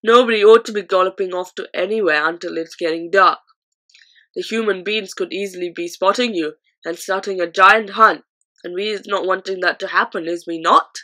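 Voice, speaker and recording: Woman doing a booming brutish man-eating giant. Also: steady tone, moderate pace, bright, forceful tone, close to an everyday voice. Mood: menacing. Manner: booming, bullying, ungrammatical.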